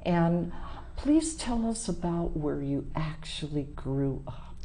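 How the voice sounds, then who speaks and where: Woman talk show host, effusive, low-ish voice, unhurried, studio set with lapel mic. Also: lively intonation, mellow. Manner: effusive, laughs often. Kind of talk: TV interview.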